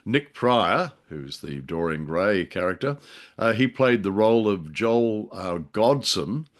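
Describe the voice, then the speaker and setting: A man co-hosting a casual discussion, speaking in a wordy, halting and wry way. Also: on the low side, lively intonation. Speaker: podcast co-host.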